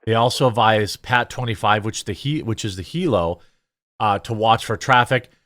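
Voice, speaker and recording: A man's voice: on the low side, moderate pace, fairly bright tone, dry recording, studio quality. A man giving casual commentary on news footage as a show host, conversational and a little hesitant as he thinks aloud.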